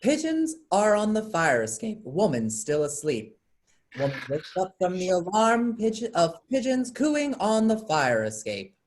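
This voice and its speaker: A woman's voice: medium pitch, wildly swooping pitch, unhurried, a little mellow. A woman reading scripted stage directions as narration for a play.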